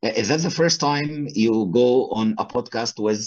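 A middle-aged man from Egypt with an Egyptian Arabic accent, hosting a video-call interview and speaking English slowly, haltingly and deferentially. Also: medium pitch. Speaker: podcast host. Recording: muffled video-call audio.

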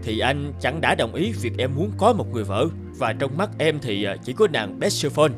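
A man's voice: medium pitch, big pitch swings, moderate pace, music in the background, close to an everyday voice. A man voicing a scheming, pleading male god of the underworld in a cartoon fairy tale. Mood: wheedling.

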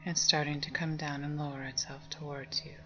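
Female meditation guide, low soft breathy voice, calming near-whisper, slightly muffled lo-fi recording. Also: brisk. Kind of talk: guided meditation, slow spoken instructions. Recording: dull lo-fi sound under music. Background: meditation music with singing bowls.

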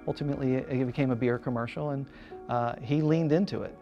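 A middle-aged man telling a story in a measured way during a seated interview. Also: medium pitch, fairly even intonation, lo-fi sound. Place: indoor press room, seated interview. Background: music.

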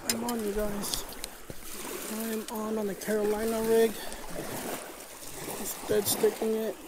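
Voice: medium pitch, monotone, unhurried. A fishing vlogger giving casual commentary in short, plain remarks to viewers, recorded on an action camera outdoors by a lake. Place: outdoors on an open lake shore. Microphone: chest or head-mounted action camera. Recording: outdoor action camera with clear ambient noise.

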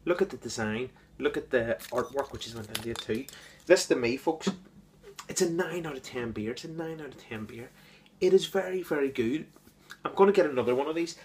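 A man giving an enthusiastic, casual beer review, repeating words and filling pauses with ums. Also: mid-range voice.

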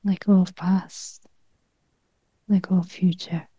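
A woman leading a guided meditation in a gentle near-whisper, with short phrases and long pauses. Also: lowish voice, level tone, husky, dry recording, dull audio.

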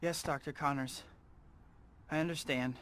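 An actor playing an apologetic young student who is secretly a hero, with an American accent and a hesitant, earnest manner. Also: male voice, on the high side, fairly even intonation, a little slow, a bit bright. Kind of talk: video game voice acting.

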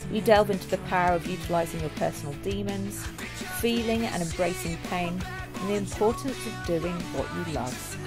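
A woman host giving a calm, measured scripted introduction to an interview episode. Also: on the low side. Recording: voice over loud band music. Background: loud rock band music.

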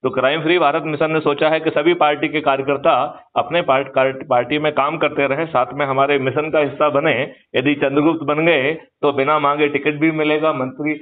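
A man's voice: fairly high pitch, flat delivery, a little fast, few pauses. Older man lecturing over a phone line. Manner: emphatic, lecturing, seeking agreement with rhetorical questions. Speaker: activist, retired police officer. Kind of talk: persuasive political talk on a phone call. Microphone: phone call. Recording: muffled narrow-band phone call recording.

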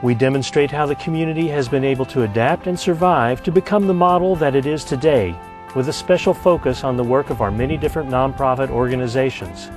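A man's voice: medium pitch, quick pace. Male narrator, middle-aged, mid-range voice, scripted community promo, faint guitar bed. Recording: music behind the voice.

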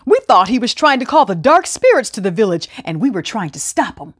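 A woman voicing a pompous, excitable man who declaims self-importantly in a children's folktale. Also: mid-range voice, brisk, breathy edge. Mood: excited, earnest.

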